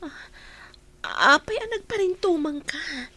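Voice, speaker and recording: A woman acting a gentle young sweetheart in an Ilocano radio drama. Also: extremely high pitch, expressive, slow, slight background noise, exaggerated voice. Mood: shyly accepting.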